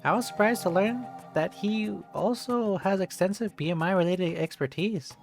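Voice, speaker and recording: A game streamer reading a visual novel aloud in an expressive narrating style. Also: medium pitch, moderate pace. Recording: game music behind the voice.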